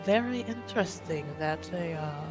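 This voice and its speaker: A woman's voice: on the low side, animated, moderate pace, music in the background, natural voice. A woman playing a haughty, probing noblewoman in a tabletop game, speaking formally and pointedly. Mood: arch.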